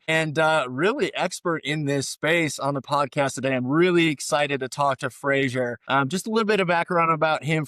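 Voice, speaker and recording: A man's voice: on the high side, fairly bright tone, dry recording. A man hosting a podcast, giving an enthusiastic, effusive introduction of his guest. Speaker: podcast host. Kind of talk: podcast interview.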